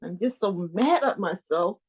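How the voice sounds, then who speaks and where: A woman's voice: medium pitch, animated, normal speed, slightly rough, low-quality recording. An actress performing a stage monologue as an anxious, newly engaged young woman, talking in a rambling, hesitant, confiding way. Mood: troubled.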